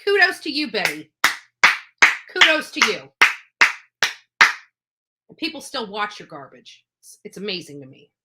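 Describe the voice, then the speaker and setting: A woman's voice: mid-range voice, wildly swooping pitch, unhurried, pauses often, bright, forceful tone, slight background noise. A woman giving unscripted, opinionated livestream commentary, emphatic and accusatory, repeating phrases for force. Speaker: livestream commentator on true crime cases.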